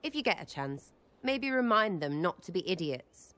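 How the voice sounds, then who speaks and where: A blunt young elf woman in a fantasy game, with a slightly low voice. She is irreverent, slangy and mocking, and her words ring with long reverb. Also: very animated, moderate pace, fairly bright tone. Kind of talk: video game dramatic acting.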